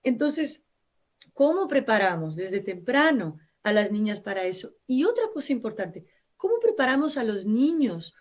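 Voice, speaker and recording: A woman's voice: a little high, big pitch swings, moderate pace. A woman from Brazil, a native Portuguese speaker with a Brazilian accent, speaking Spanish as a guest expert on a live radio interview, explaining earnestly with frequent restarts.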